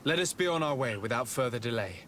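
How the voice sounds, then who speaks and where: A male actor voices a young noble diplomat in a fantasy game, a man of medium pitch. He is formal, resolute and used to questioning people with authority. A clear outdoor rumble sits behind the voice. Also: expressive, fast talker, fairly bright tone, natural voice. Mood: earnest, resolute. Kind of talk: video game cutscene acting.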